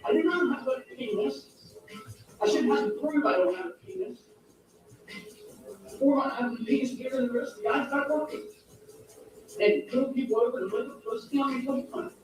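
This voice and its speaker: A woman's voice: high-pitched, fairly quick, long pauses, background noise. A woman telling a rambling, emphatic personal story. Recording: echoey distant clip with music.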